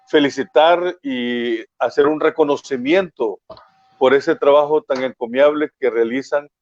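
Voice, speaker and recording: A man hosting a radio interview program, speaking with many pauses and filler sounds. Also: on the high side, a little mellow, no echo, muffled recording.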